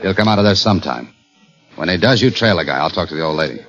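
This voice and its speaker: An actor playing a tough, dogged police detective in a 1940s radio crime drama, with clipped, hard-boiled delivery. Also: male voice, deep voice, animated, fast. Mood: urgent, insistent. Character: tough, dogged male police detective narrating his case. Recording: dull old radio recording, loud music behind.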